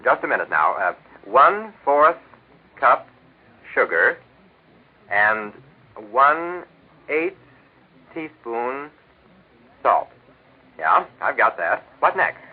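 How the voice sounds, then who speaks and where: A man's voice: mid-range voice, big pitch swings, drawn-out words, long pauses, husky. An American man with a jovial, eager, hammy manner, delivering folksy scripted sponsor patter as a 1940s radio announcer and co-host. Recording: tinny band-limited 1940s radio recording.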